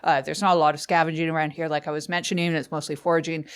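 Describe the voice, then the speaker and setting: A woman co-hosting an improvised comedy advice show, talking haltingly, repeating and correcting herself in casual banter. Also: sits low, flat delivery, clean tone. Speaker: comedy podcast host.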